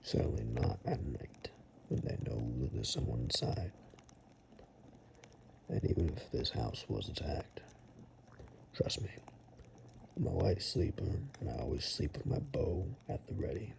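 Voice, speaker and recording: A man playing a protective monster-hunting woodsman in an ASMR roleplay, half-whispering in a gravelly, deliberate, matter-of-fact way. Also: rumbling low, sing-song, darkish tone, slight background noise, exaggerated voice. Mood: calm, assured. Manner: slow, deliberate, matter-of-fact reassurance with pauses.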